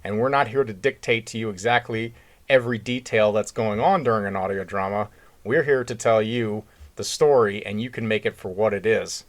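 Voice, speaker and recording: A man's voice: on the low side, fairly quick. A man co-hosting a podcast in casual, unscripted talk, with an opinionated, emphatic way of putting things.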